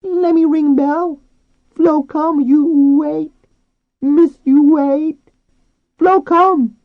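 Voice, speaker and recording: An eager, playful female dolphin voiced by a man for an audiobook. The voice is a very high, squeaky falsetto, speaking insistently in clipped pidgin phrases. The sound is close, dry and slightly dull. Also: steady tone, extremely slow, no room sound, full character voice. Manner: broken, clipped pidgin in short insistent bursts. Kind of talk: audiobook character voice.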